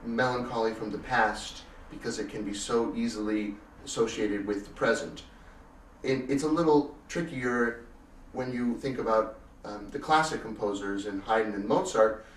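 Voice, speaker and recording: A man in his twenties giving a thoughtful, measured and articulate interview answer, often rephrasing himself. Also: lowish voice. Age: 20s. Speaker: cello soloist, interviewee. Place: furnished indoor room, seated on sofa. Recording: roomy echo, distant mic, faint noise.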